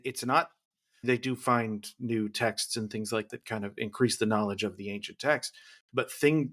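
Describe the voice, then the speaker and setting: A man hosting a podcast in casual conversation, rambling through his points and quick to tease his guest with jokes. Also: fairly low pitch, vocal fry, a bit bright. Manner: rambling, teasing, quick to joke. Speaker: podcast host.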